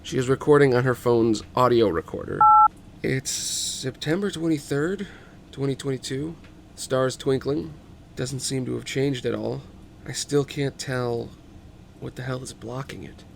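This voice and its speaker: A man's voice: mid-range voice, a little slow, gravelly. A man narrating stage directions in an informal table read of a film script, as a podcast host. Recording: faint background noise.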